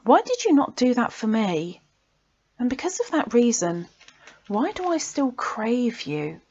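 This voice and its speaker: Woman slowly reciting poetry, dull recording. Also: on the high side, sing-song, darkish tone, slightly rough. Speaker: poet reading own poems.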